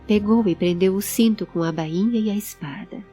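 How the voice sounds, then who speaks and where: A woman's voice: mid-range voice, moderate pace, dark tone. A woman narrating a scripted audio drama. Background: background music.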